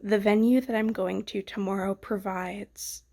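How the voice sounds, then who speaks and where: Young female vlogger with a cold, nasal and husky, slow, soft chatty delivery at home. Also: mid-range voice, steady tone. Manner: soft-spoken, chatty, often trailing into whispers. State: sick, sniffly and nasal. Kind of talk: casual chat to camera. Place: home studio.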